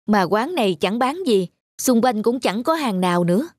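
A woman voicing a weary, stubborn and foolish male traveller in an animated cartoon. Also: medium pitch, moderate pace, no room sound, studio quality, close to an everyday voice. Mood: hungry, eager. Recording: loud music under the voice.

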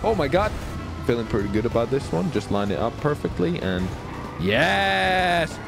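A man giving excited, playful gaming commentary in a theatrical way, often exclaiming and repeating his phrases. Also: medium pitch, normal speed, vocal fry, music in the background. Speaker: gamer and video host.

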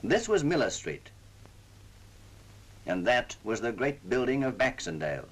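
A man's voice: medium pitch, sing-song, measured pace, husky, poor audio. A man narrating a wartime propaganda film in a solemn, formal, oratorical way, on a vintage 1940s soundtrack. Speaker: newsreel narrator.

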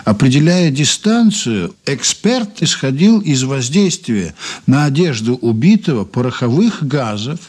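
A man's voice: medium pitch, very animated, unhurried, a little mellow, husky. A man playing an insistent, ironic police investigator in a radio play, questioning firmly and politely with emphasis. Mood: confident, ironic. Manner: probing, polite but firm, emphatic. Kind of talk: radio play acting, interrogation.

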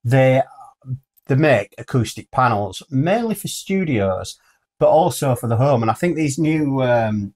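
A man's voice: medium pitch, expressive, unhurried, a little mellow. A British man in his fifties chatting casually as co-host of a news show, recorded in a small room indoors. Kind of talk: casual chat.

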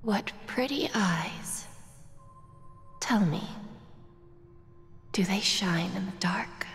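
An actress playing a poised, seductive businesswoman in a video game, languid and breathy as she toys with someone. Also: medium pitch, drawn-out words. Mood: teasing menace. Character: poised, seductive, powerful businesswoman toying with a prisoner. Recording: reverberant, with music underneath.

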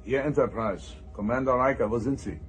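A man's voice: mid-range voice, normal speed, soft background music, natural voice, poor audio. Man playing a commanding, stern starship captain. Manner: authoritative, clipped orders. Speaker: voice actor. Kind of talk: German science fiction audio drama acting.

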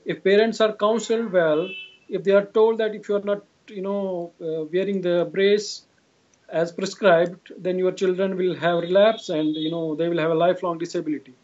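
A man's voice: high-pitched, fairly even intonation, normal speed, a little mellow. A man in his forties with an Indian accent, explaining his work in an interview and often repeating himself. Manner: repetitive, often says "you know". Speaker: clinician being interviewed. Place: indoor room, video call. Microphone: video call device at arm's length. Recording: dull, lo-fi video call audio.

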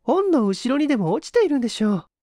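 A man voicing a gentle, refined young lady with polite feminine speech in a story reading. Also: extremely high pitch, very animated, quick pace, dry recording, clean studio sound, voice pushed up. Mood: gentle, coaxing.

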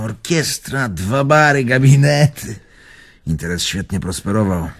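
An actor playing a nostalgic old bon vivant in a radio drama, reminiscing expansively and wistfully with sighs. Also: male voice, mid-range voice, slightly rough. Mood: fondly nostalgic. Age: older.

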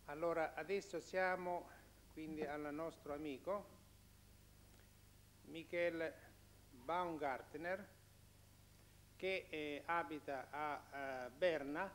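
50s guy running a congress, kinda high voice, lots of ehs, bit of hiss behind. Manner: hesitant, fillers, wry humor. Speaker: congress chair. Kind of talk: introducing speakers. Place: conference room. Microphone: table microphone.

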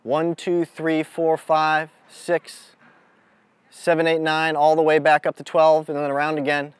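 A man speaking as a mental toughness coach, giving a deliberate, instructive motivational lesson to a group out in the open. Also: on the high side, completely flat delivery, smooth, no room sound. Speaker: mental toughness coach leading a youth clinic. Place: outdoors on an amphitheater lawn.

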